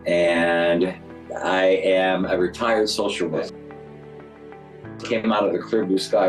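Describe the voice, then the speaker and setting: An older man, nearly seventy, speaks reflectively in a very deep voice. He sounds conversational, a little far from the mic in an echoey room, with music behind. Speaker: retired social worker, award honoree. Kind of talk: reflective personal interview. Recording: roomy echo, distant mic. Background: background music.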